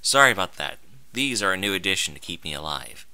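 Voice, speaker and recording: A man's voice: deep voice, big pitch swings, fast, no echo, heavily acted. A man voicing an agitated, irritable male pony inventor who lives as a paranoid recluse in an audio story. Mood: apologetic. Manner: loud, agitated, blustering. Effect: voice over intercom speaker in the story.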